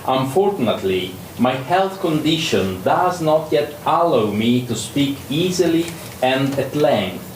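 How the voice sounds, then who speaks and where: A man's voice: mid-range voice, sing-song. A man with an Italian accent, a native Italian speaker, reading a formal letter aloud. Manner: slow and formal. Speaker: panel speaker. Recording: echoey hall, faint noise.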